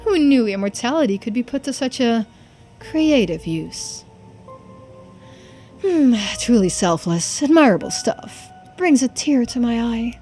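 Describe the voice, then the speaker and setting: A woman's voice: fairly high pitch, sing-song, measured pace, dark tone, faint music behind. A woman voicing a smug, theatrical man who is centuries old, drawing out his teasing words in a video game. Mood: smug, mocking.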